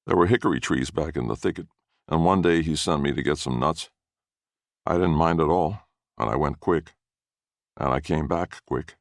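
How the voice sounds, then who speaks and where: A man's voice: a bass voice, vocal fry, no room sound, clean studio sound. A man reading a first-person story as narrator in a folksy storytelling manner.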